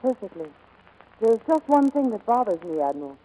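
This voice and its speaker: A woman playing a brave, poised aviator in a 1940s Hollywood radio drama, with crisp, self-possessed phrasing. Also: fairly high pitch, sing-song, a little fast, mellow. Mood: cool, resolute. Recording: noisy, muffled 1940s radio recording.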